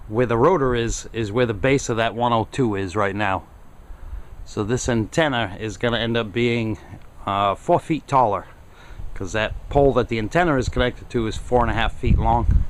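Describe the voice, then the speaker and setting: A man casually narrating a hands-on hobby project in a plainspoken, explanatory way. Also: medium pitch. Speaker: hobbyist presenter. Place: home workshop and outdoor driveway. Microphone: handheld camera, close to speaker.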